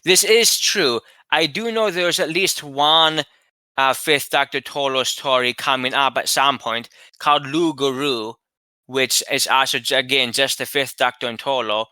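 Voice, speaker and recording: A man co-hosting a casual chat, lively and animated, often restarting while he searches for words. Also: medium pitch, measured pace, clean tone, dry recording. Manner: animated, rising into excited retelling. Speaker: podcast co-host.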